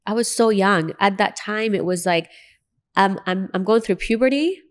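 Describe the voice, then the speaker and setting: A woman's voice: medium pitch, normal speed. A woman from Lebanon with an American accent and Arabic as her first language, telling her personal story as an interview guest in an earnest, emphatic way.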